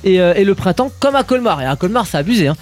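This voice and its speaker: A man's voice: sits high, sing-song, fast talker. A man co-hosting a morning radio show, chatting casually and explaining figures with asides. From Alsace, France.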